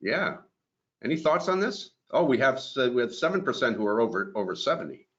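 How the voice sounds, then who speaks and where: A man's voice: mid-range voice, sing-song, a little fast, lo-fi sound. A man in his fifties hosting a career webinar, upbeat and friendly as he runs polls and moderates. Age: 50s. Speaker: webinar host.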